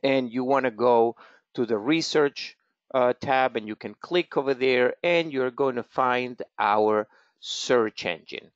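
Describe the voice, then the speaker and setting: A man's voice: mid-range voice, slow, no echo. A man with a Venezuelan Spanish accent, a genealogy expert hosting a live webinar and walking through a website, speaking carefully with many fillers. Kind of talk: live webinar with screen-share walkthrough. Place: office in Israel. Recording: dull, slightly static home mic.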